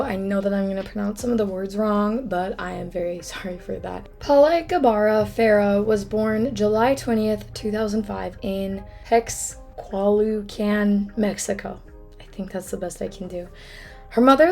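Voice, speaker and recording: Hoarse young woman telling a true crime case. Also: medium pitch, dark tone. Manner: chatty, apologetic asides. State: hoarse, froggy voice. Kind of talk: casual true crime storytelling.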